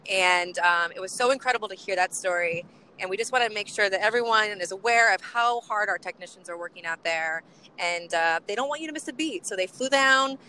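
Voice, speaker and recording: A woman co-hosting from a car, bubbly and rambling, her voice worn low from chanting. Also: a little fast, sharp tone, a little hiss or hum, rough recording. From US West Coast. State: voice getting lower from chanting. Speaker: co-host. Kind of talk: casual co-host chat, road trip update.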